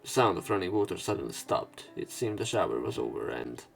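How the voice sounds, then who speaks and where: A man's voice: low voice, big pitch swings, fairly quick, gravelly. A man reading visual novel text aloud as a let's play narrator, with casual asides. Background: visual novel game music and sound effects.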